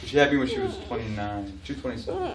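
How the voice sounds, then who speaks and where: A woman's voice: extremely low pitch, very animated, racing. A woman in her fifties chatting and working out family ages aloud. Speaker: relative. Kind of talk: casual chat. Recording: roomy, distant home video with crowd chatter.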